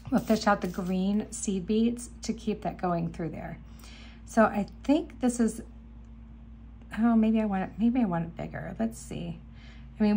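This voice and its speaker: A woman hosting a beading video, chatting enthusiastically while she works, her voice a little hoarse. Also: mid-range voice, warm tone, slight background noise. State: slightly hoarse, clearing throat. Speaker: beading channel host. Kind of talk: casual crafting tutorial. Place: indoor craft table. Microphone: camera above table, hands only.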